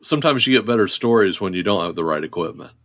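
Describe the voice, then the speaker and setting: A man in his forties hosting a podcast, reading a guest intro and then chatting in a steady way. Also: low-pitched, quick pace, no room sound, studio quality. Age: 40s. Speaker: podcast host.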